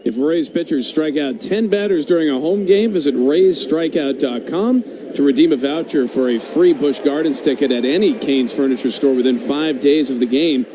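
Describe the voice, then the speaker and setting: A man's voice: fairly high pitch, normal speed, hardly any pauses. A man reading a scripted promotional announcement on a sports radio broadcast. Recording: noisy outdoor broadcast background.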